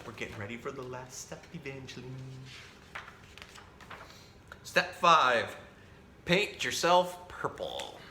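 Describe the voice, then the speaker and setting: A man hosting a children's storytime, reading a picture book aloud in a playful, expressive way and talking directly to young listeners. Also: mid-range voice, slow pace, pauses often. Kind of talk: animated read-aloud of a children's picture book with chatty asides to a pet parrot. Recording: roomy echo, faint background noise.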